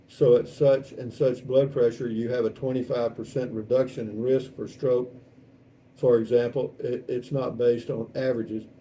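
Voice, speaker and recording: A man's voice: mid-range voice, dark tone. A man in his seventies with a Texan accent, chatting casually and reading out comments in a folksy, rambling, wry way. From South Texas, United States. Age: 70s. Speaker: vlog host. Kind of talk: casual chat, reading out and answering viewer comments. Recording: distant mic with faint background noise.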